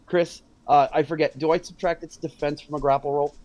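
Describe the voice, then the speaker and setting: A man's voice: on the high side, fairly even intonation, brisk, darkish tone, dry recording. A man chatting as a player in a tabletop role-playing game, hesitant and full of restarts. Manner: hesitant, restarts, apologetic about rules. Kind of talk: casual tabletop game chat.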